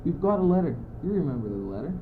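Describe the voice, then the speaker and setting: A man's voice: fairly high pitch, sing-song, fast talker. A teenage boy playing a philosophizing, impatient courtier in an amateur radio play, declamatory and exasperated. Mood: reassuring, insistent. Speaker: student actor. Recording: noisy phone-like old school recording.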